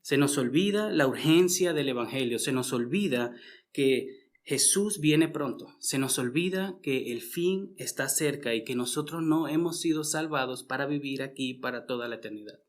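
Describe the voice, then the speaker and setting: A man's voice: mid-range voice, normal speed. A man from Venezuela with a Venezuelan accent, preaching a conversational sermon to his congregation. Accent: Venezuelan Spanish. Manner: conversational, frequent tag questions and restarts.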